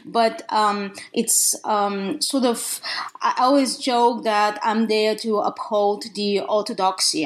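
A woman from Bulgaria with a Bulgarian accent, talking as a guest author in a podcast interview, pausing often with restarts and ums. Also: fairly high pitch. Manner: halting, many restarts and ums.

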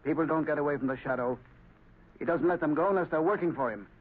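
A man's voice: fairly high pitch, quick pace, breathy edge. An actor playing a hard, bullying henchman of a sabotage ring, curt and insistent, in 1930s radio style. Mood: menacing, pressuring. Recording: tinny 1930s radio recording.

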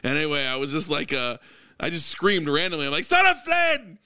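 A man co-hosting a casual podcast chat while playing a video game, talking in an animated way. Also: a little high, a little fast. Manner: animated, loud outbursts and frequent laughter. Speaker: podcast co-host.

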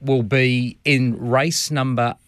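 A man's voice: medium pitch, steady tone, takes it slowly, a bit bright, no room sound. An Australian man from Queensland previewing harness races as a studio guest, enthusiastic and full of restarts. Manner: enthusiastic, many restarts and fillers. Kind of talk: radio studio interview, race previews. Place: radio studio.

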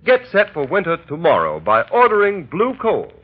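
Lively high-voiced male vintage radio announcer. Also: normal speed. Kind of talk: 1930s-40s radio commercial read. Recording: dull, lo-fi old radio recording.

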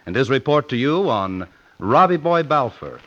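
A man's voice: medium pitch, sing-song, moderate pace, a bit bright. A man announcing in a formal, emphatic 1950s radio style, reading a scripted promo. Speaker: network announcer. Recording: muffled vintage radio broadcast.

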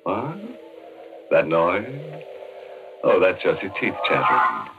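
A man's voice: low-pitched, huge pitch swings, slow, creaky, heavily acted. A man playing a gleefully macabre horror host in 1940s radio style, mock-genial and theatrical. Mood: macabre glee. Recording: muffled vintage radio broadcast with music.

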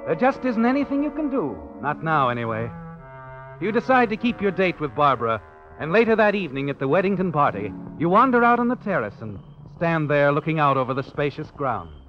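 An ominous, knowing male narrator of old radio suspense. His fairly high voice swings widely as he insinuates to the character, with prominent music behind him. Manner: insinuating, addressing the character directly. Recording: dull vintage radio recording, music behind.

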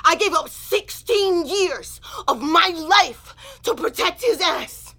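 A woman's voice: extremely high pitch, lively intonation, slow, sharp tone, exaggerated voice. An actress playing a bitter, foul-mouthed woman just out of prison, in naturalistic audio drama with slangy, emphatic delivery. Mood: distraught, furious. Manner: streetwise, slangy, profane, emphatic.

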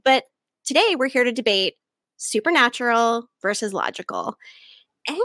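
Casting: woman host of a live-stream chat, upbeat and moderating, bright and a bit high, dry close sound. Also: lively intonation, normal speed, no room sound. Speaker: podcast host.

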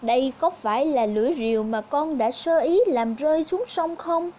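A woman voicing a kind, gentle old man from a fairy tale, speaking slowly in a fatherly way. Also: sits high, a little hiss or hum, natural voice. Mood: kindly questioning.

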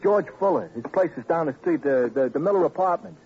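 A high-pitched, washed-up nervous boxer. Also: male voice, lively intonation, brisk, darkish tone. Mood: defensive. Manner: hesitant, repetitive, defensive. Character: worn-out, nervous male ex-champion boxer. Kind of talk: old-time radio crime drama acting. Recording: muffled, noisy vintage radio recording.

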